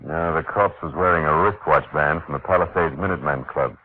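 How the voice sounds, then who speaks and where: An actor playing a weary, wry, hard-boiled private detective in clipped 1940s radio noir style, both in dialogue and narration. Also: male voice, rumbling low, a little fast. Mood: dry, flirtatious. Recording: noisy, muffled old radio broadcast.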